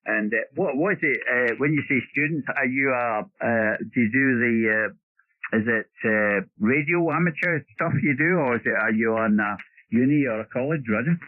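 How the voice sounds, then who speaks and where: An older man chatting over amateur radio, rambling and halting with long hesitations. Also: mid-range voice. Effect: radio transmission. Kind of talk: casual radio chat. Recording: narrow, muffled ham radio signal.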